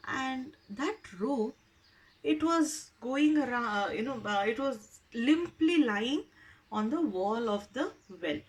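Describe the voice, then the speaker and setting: Slow, high-pitched woman telling a story. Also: animated, rough recording. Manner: emphatic, repeats phrases for effect. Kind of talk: informal oral storytelling.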